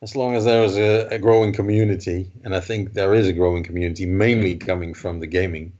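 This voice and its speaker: A man's voice: low voice, steady tone, fast talker, darkish tone. A man from the Netherlands, a model show organizer, talking in a casual podcast chat, hesitant with many restarts. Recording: dull lo-fi remote audio.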